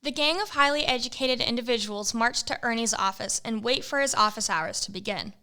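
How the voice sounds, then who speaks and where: A woman's voice: fairly high pitch, lively intonation, brisk, pushed and bright, smooth. A woman narrating an amateur comedy audio drama in a matter-of-fact way.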